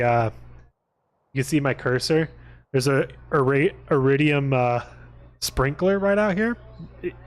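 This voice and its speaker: Rambling, jokey man streaming a game. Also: mid-range voice. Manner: rambling, thinking aloud, jokey. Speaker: video game livestreamer. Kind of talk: casual gaming stream commentary.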